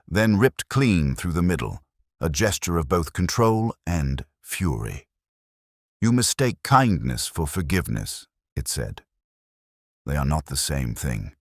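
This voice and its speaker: A man reading a scripted first-person detective story in a measured, brooding, literary manner. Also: sits low, dry recording, studio quality. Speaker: narrator of a detective mystery story.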